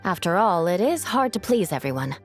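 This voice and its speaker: A woman playing a proud, composed young knight captain in a video game, speaking in a measured, formal way with dry wit. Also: on the low side, sing-song. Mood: mildly rueful. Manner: measured, formal, dryly wry. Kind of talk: video game character dialogue. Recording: studio voice over game music.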